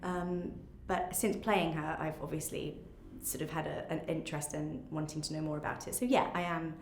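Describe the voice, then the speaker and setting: Female, young adult, English accent, slightly low voice, enthusiastic interviewee, roomy sound. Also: level tone, moderate pace, slight background noise. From England. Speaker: actress. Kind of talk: casual interview talk.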